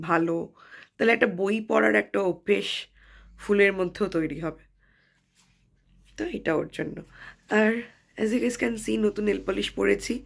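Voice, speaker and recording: Bengali woman doing casual vlog talk, lots of vocal fry, bits of english mixed in. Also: mid-range voice. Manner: mixes English phrases into talk. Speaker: vlogger. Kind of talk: casual home vlog chat.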